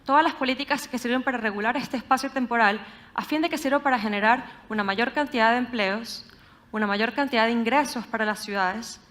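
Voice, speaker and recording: Young Venezuelan woman speaker, fairly high voice, earnest and measured, poses questions to the room. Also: fairly bright tone. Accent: Venezuelan Spanish, Caracas. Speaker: speaker giving a talk. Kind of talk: prepared public talk.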